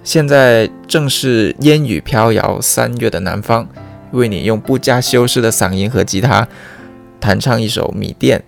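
A man's voice: mid-range voice, measured pace, creaky. A young man giving a heartfelt, sincere personal message in the manner of a radio presenter. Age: young adult. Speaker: radio programme presenter sending wedding wishes. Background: guitar music.

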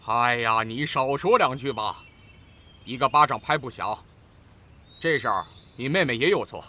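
A man's voice: mid-range voice, expressive, normal speed, pushed and bright. A man playing a stern, scolding merchant father in a Chinese period audio drama.

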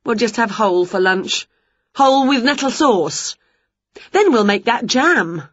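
Woman acting scatty greedy witch, children's storybook, high-pitched, swooping intonation, gleeful exclamations, slightly dull recording. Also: expressive, measured pace, fairly bright tone, dry recording. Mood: bossy, gleeful.

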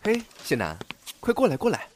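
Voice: mid-range voice, huge pitch swings, a little fast, a little mellow, a little hiss or hum. An actor playing a gossipy, easily spooked office colleague in an audio drama. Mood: coaxing. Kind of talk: Chinese audio drama acting.

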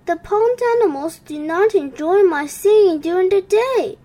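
A woman's voice: sits high, slow, darkish tone. A woman voicing a proud male tree frog who brags about his singing in a raised voice. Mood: wailing. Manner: bragging, then plaintive.

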